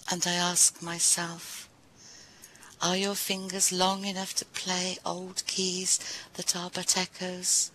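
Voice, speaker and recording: Bright-voiced woman reading poetry slowly. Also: medium pitch, low-quality recording. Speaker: poetry reader at a live event. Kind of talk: poetry recital with brief informal introductions.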